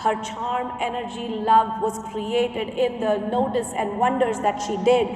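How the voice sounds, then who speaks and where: A woman with an Indian accent, a math teacher, giving an earnest personal talk on stage with repeated refrains. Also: on the high side. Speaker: middle school math teacher and speaker. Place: large conference hall stage. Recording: echoey hall from far off.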